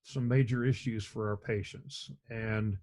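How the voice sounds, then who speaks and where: Middle-aged man, deep voice, unhurried EMS instructor on a webcam lecture, repeats himself as he goes. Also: steady tone, a little mellow. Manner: slow, measured, conversational with frequent restarts. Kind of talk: recorded slide lecture. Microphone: webcam and computer mic on a video call.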